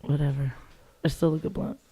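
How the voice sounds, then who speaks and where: A young woman co-hosting a casual podcast chat, blunt, profane and quick to laugh. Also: low voice, flat delivery, dark tone, a little hiss or hum. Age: young adult. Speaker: podcast co-host.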